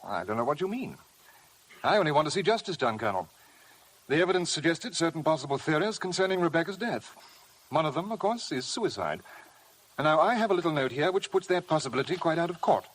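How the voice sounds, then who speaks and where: Male, smug cad and blackmailer, smooth mock-courtesy, taunting; 1950s radio drama with light noise. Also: a little high, big pitch swings, fast talker, fairly bright tone. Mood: insinuating. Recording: noisy vintage radio broadcast recording.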